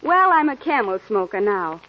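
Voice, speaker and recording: A woman's voice: medium pitch, sing-song, moderate pace. A woman reading a scripted testimonial in a 1940s radio commercial. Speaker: performer in a radio cigarette commercial. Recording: dull old radio recording.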